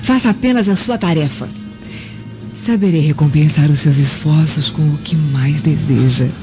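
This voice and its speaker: A woman's voice: deep voice, normal speed, natural voice. An actress playing a scheming, seductive Roman noblewoman in a radio drama, with an insinuating, calculating manner. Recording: muffled lo-fi audio with music.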